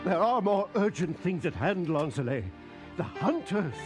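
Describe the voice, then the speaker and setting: A man voicing a suspicious cartoon council member who speaks in a pointed, measured, insinuating way. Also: high-pitched, very animated, over music. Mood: urgent. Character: suspicious, smooth-spoken council member of rebel band. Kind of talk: animated series acting.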